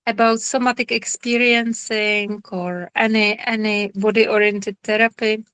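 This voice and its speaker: A woman with a Czech accent, a somatic therapist giving an informal online lecture and answering questions, speaking haltingly and searching for words in a friendly way over a video call. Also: mid-range voice, steady tone, fairly bright tone, smooth, dry recording.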